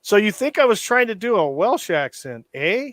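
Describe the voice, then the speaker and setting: A man's voice: high-pitched, very animated, moderate pace, fairly bright tone, no room sound, studio quality. A man co-hosting a live stream in casual chat, laid-back and joking, laughing often. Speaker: stream co-host.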